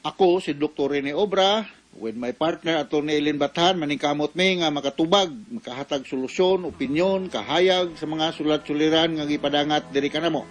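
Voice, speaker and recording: A man's voice: a little high, moderate pace. A man with a Cebuano Filipino accent giving conversational radio advice as a doctor, explaining in a folksy way with frequent tag questions.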